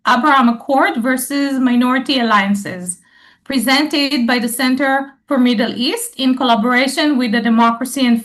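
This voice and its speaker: A woman's voice: sits high, fairly bright tone. A woman hosting an online live event, reading a formal welcome. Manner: formal, apologetic when interrupted. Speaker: event host. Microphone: video call.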